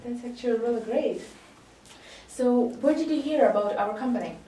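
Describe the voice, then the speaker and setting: A woman's voice: a little high, moderate pace, mellow. A woman acting as interviewer in a casual role-play job interview, asking questions with brief encouraging interjections. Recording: echoey room, mic at a distance, faint noise.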